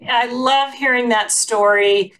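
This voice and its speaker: A woman's voice: fairly high pitch, fairly even intonation, takes it slowly, a bit bright, low-quality recording. A woman hosting an interview, asking questions and affirming her guest's story. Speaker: host.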